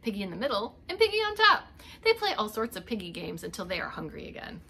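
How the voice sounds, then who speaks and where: A woman's voice: medium pitch, huge pitch swings, quick pace, pushed and bright, husky. A middle-aged woman reading a picture book aloud as a preschool teacher, expressively, with little asides to young children. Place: indoors, home living room.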